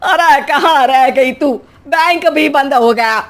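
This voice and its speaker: A bossy middle-aged mother-in-law played by a woman in a comic storybook tale. She grumbles with sarcastic exasperation in a fairly high, slow-paced voice. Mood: bossy, hurried. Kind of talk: Hindi comic family story acting.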